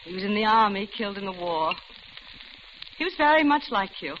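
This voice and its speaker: A woman's voice: medium pitch, sing-song, fairly quick, lightly acted. A muffled, noisy vintage radio recording of an actress as an older widow. She is genteel and talkative, coaxing with an uneasy edge, in a 1940s drama manner. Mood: concerned, wistful. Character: chatty, uneasy older widow, diner customer.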